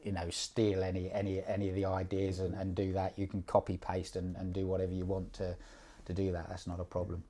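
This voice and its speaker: A man with a very low, dark voice talks through placement advice in an easygoing, unhurried way. He repeats words and fills pauses with ums, and his pitch barely moves. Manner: relaxed, repeats words, many fillers. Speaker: job placement mentor. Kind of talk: casual one-on-one advice.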